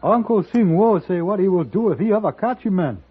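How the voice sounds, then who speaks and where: An actor playing an earnest, deferential Chinese servant who speaks broken English with a Chinese accent in a radio drama. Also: male voice, sits high, lively intonation, fairly quick, breathy edge, soft background music. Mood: polite, eager. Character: earnest, deferential Chinese hotel servant man, pleading for uncle. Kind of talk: 1950s radio drama acting. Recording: tinny, band-limited 1950s radio recording.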